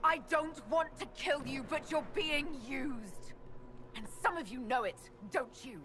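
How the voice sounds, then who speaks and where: An actress playing a firm, merciful young heroine in a fantasy video game, making an urgent, declamatory appeal. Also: female voice, high voice, measured pace.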